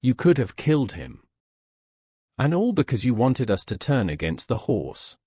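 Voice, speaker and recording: A man's voice: mid-range voice, big pitch swings, normal speed, warm tone, slightly rough, dry recording, studio quality. A man voicing an indignant forest animal in a storybook tale, with a stern, accusing manner. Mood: angry.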